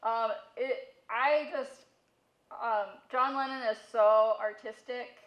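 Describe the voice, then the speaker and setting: A woman's voice: on the high side, fairly even intonation, slow, lo-fi sound. A woman giving casual interview answers as a museum curator, heard through a megaphone in an echoey room.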